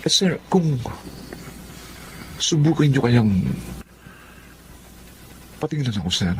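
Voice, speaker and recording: An actor playing a humble, grateful employee in a Filipino radio drama, deferential, hesitant and compassionate. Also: male voice, mid-range voice, very animated, takes it slowly, a little mellow, slight background noise. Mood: earnest, humble.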